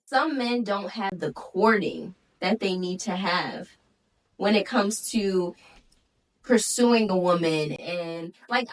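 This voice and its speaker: A woman's voice: medium pitch, slow pace, distant mic, low-quality recording. Blunt, slangy woman in her twenties. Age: 20s. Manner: frank and blunt, slangy, trails off and restarts. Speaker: podcast co-host. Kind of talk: casual chat.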